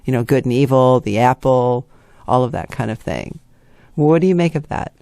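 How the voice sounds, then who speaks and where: Male interview host, mid-pitched, conversational and explanatory, very dry close sound. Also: moderate pace, gravelly, dark tone, no echo. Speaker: show host.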